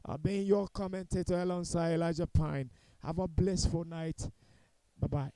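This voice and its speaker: High, clear-voiced Liberian male commentator. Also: steady tone, moderate pace, dark tone, dry recording. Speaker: football commentator. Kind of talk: live post-match analysis and sign-off.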